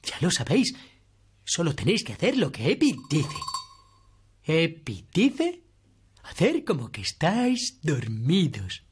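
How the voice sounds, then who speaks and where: A man's voice: on the high side, wildly swooping pitch, unhurried, pauses often, a little hiss or hum. A man playing a playful, mischievous boy puppet in a children's show, with a Castilian Spanish accent and a bouncy, coaxing manner.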